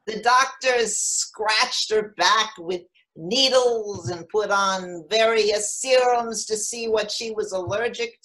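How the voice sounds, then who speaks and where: A woman acting a frank, wistful elderly mother of seven in a play reading, speaking with halting, matter-of-fact candour. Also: fairly high pitch, fairly bright tone, poor audio.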